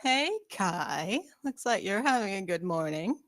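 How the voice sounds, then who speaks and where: A woman's voice: medium pitch, lively intonation, measured pace, a bit bright, no room sound. A woman acting a troubled, earnest young woman, dry sound. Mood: awkward. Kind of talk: informal online screenplay table read.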